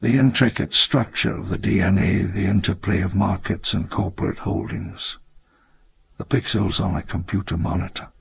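An actor playing a wistful elderly park founder, narrating his memoir in measured, reflective storytelling. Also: low voice, steady tone, creaky. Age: older. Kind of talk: scripted memoir narration in a game.

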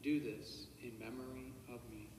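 Priest saying Mass in an echoey church. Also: male voice, medium pitch, fairly even intonation. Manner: measured, solemn liturgical recitation, quiet murmured private prayers. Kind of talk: celebrating Mass, reciting liturgical prayers. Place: large church sanctuary at the altar. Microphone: far camera. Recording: echoey church from far off, faint hiss.

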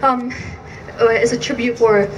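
A young woman from Iraq reads her poem at an outdoor rally. She speaks into a handheld mic with a fairly high, intense and rhythmic delivery. The sound carries through loudspeakers and is picked up from afar, with a faint hiss behind it. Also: steady tone, a little slow, muffled recording. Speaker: poet. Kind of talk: spoken word poetry at a rally. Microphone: handheld mic through PA, filmed from a distance. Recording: distant outdoor PA with faint noise.

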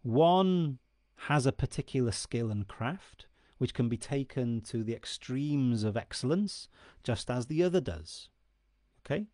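A man's voice: mid-range voice, expressive, a little slow, darkish tone, no room sound, clean studio sound. A man in his forties whose first language is Welsh, explaining Celtic myth to camera in an earnest way and often checking in with the listener. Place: dark room indoors. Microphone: studio mic on stand close in front.

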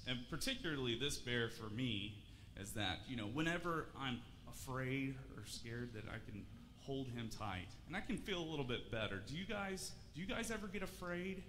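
A man's voice: mid-range voice, pushed and bright. Man giving a children's sermon in a church hall. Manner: friendly, questioning, many fillers and restarts. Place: church sanctuary, children seated in front. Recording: church hall reverb, faint room noise.

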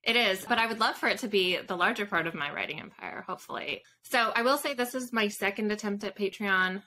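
A woman's voice: mid-range voice, a little fast, bright, forceful tone. A woman in her thirties chatting casually as an interview guest on a video call. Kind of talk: casual chat. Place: indoors in a room with bookshelves, on a video call.

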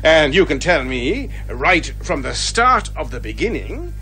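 A man's voice: fairly high pitch, sing-song, measured pace, sharp tone, soft background music, full character voice. A man playing a bumbling, genial police inspector who rambles hesitantly and folksily in a radio comedy drama. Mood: affable, flustered.

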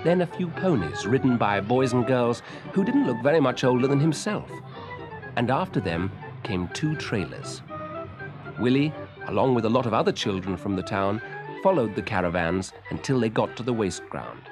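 A British man narrates a children's storybook expressively. His mid-pitched voice moves around with great animation, and faint music plays along. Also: normal speed. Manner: expressive, clearly paced storytelling.

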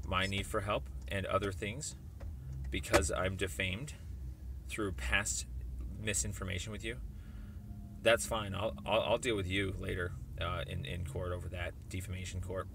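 A man with a low, gravelly voice leaves a formal phone message. He is insistent and deliberate, often halting mid-sentence. Faint noise sits behind his words.

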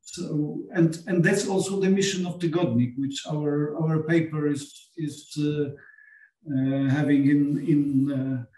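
Polish guy on a film panel, slow hesitant english, lots of ums, kinda higher voice, roomy echo. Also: steady tone, warm tone. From Poland. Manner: earnest, searching for words with many fillers. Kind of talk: panel conversation. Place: small meeting room with sofas. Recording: echoey room, voice far from mic.

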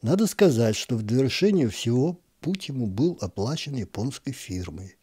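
Wry man reading a story, mellow tone. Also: medium pitch, sing-song, moderate pace, slightly rough. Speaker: narrator reading a short story. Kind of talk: expressive literary reading.

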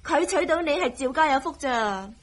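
An actress playing a sassy, teasing younger sister from a wealthy family in a Hong Kong Cantonese radio drama. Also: female voice, high-pitched, expressive, moderate pace. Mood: cheeky, grumbling. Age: young adult. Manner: teasing, exaggerated.

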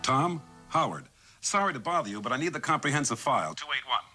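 Actor as quick, insistent researcher. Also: male voice, medium pitch, expressive, over music, close to an everyday voice. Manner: urgent, persistent. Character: eager, insistent research colleague, a man. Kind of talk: mid-century American television drama acting.

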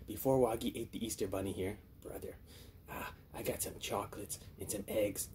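A man voicing a friendly, childlike little monster puppet, excitable and easily flustered, exclaiming in repeated phrases. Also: on the low side, moderate pace, slight background noise, lightly acted. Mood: fretful, friendly. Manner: repetitive, wide-eyed exclaiming. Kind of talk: children's puppet show skit.

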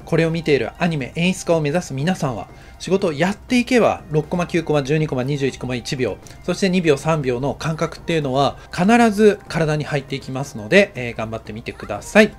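A man teaching anime directing in a relaxed tutorial voice, acting out footsteps and sound effects as he explains. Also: fairly high pitch, brisk, hardly any pauses, faint music behind. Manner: lively, acts out sounds and footsteps. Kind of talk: casual tutorial narration.

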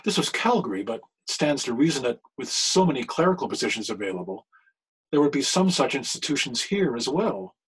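A man playing an anguished, scholarly clergyman in a period radio mystery, speaking with formal, deliberate diction. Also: mid-range voice, big pitch swings, fairly bright tone, breathy edge, heavily acted, poor audio. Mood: thoughtful. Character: anguished, scholarly older clergyman posing as crime boss.

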